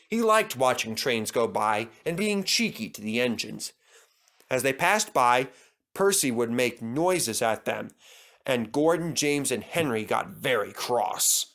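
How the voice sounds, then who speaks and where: Bright, animated male storyteller. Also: medium pitch. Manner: expressive, dramatic reading. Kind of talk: animated scripted children's story narration.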